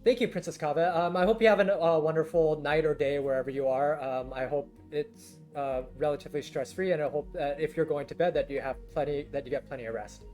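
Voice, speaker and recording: A young man with a slightly high voice chats loosely with his stream audience, stopping and restarting often. His voice sounds scratchy and worn after hours of talking. Faint music plays behind him. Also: steady tone, darkish tone. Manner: rambling, halting, many fillers and restarts. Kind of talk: casual live-stream chat with viewers.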